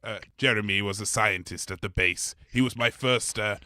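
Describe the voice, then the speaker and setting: A man's voice: fairly low pitch, moderate pace, pushed and bright, dry recording, studio quality. A man playing a polite, bumbling blob creature in a comedy audio drama, hesitant, rambling and apologetic. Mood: rambling, genial.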